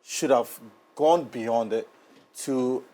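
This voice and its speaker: A man's voice: mid-range voice, drawn-out words, dark tone. A man, interviewing guests as host of a sports talk show.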